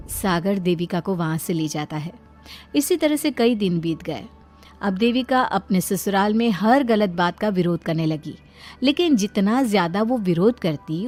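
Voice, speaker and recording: A woman's voice: mid-range voice, a little mellow. A woman narrating a scripted dramatic moral story.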